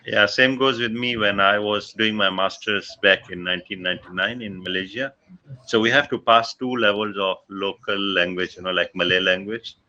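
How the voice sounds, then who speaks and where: Pakistani man, Punjabi first language, hesitant casual talker, bright voice over dull audio. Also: on the low side, steady tone, normal speed. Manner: hesitant, many fillers. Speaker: livestream chat participant. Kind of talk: casual chat.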